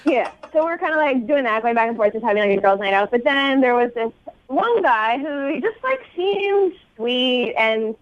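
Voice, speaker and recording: Young girlish woman caller, highish voice, says like a lot, dull lo-fi phone sound. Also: expressive, normal speed. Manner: girlish, filler-heavy, says "like" constantly. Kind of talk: casual chat.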